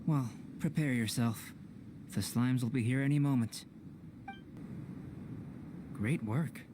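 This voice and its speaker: A man playing a calm young alchemist researcher in a video game, speaking in a measured, formal, scholarly way. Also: mid-range voice, background noise, close to an everyday voice. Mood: calmly analytical. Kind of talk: scripted video game voice acting.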